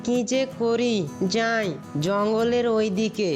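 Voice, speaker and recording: Woman voicing a flat-toned hungry cartoon fox. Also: on the high side, takes it slowly, over music. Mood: hungry grumbling. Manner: complaining, musing to itself. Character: hungry, lazy, clever fox. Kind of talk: children's cartoon story voice acting.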